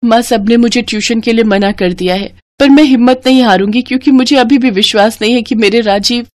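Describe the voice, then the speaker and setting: A woman's voice: fairly high pitch, brisk, darkish tone, no room sound, pitched above the performer's own voice, natural voice. A woman voicing a grieving but steadfast young wife in a cartoon story, earnest and pleading. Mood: sorrowful.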